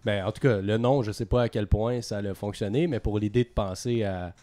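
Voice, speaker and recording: A man's voice: fairly low pitch, a little fast. Laid-back Québécois man talking in circles. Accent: Québécois French. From Quebec. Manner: relaxed, rambling, many fillers. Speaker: band member. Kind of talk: casual interview chat.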